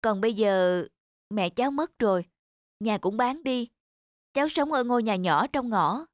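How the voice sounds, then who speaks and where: A woman's voice: a little high, expressive, unhurried, no room sound, studio quality. A woman playing a polite, kindhearted young male motorbike taxi driver in a cartoon, respectful and earnest. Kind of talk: cartoon voice acting. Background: music.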